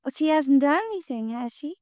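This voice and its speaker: Actress as a coy young dancer, high-pitched, dry close sound, 1950s radio play. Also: female voice, brisk, darkish tone, no room sound, natural voice.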